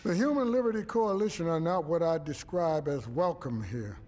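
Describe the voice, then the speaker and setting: A man plays a shrewd outlaw crew leader in a cinematic game. His voice sits a little high for a man, articulate and deliberate, with music underneath. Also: expressive, darkish tone, lightly acted. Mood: grave, measured. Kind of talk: cinematic video game acting.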